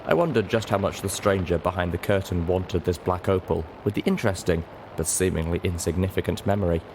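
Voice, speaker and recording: A man reading a story aloud in expressive scripted narration. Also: sits low, fairly quick, a little hiss or hum, poor audio. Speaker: audiobook narrator.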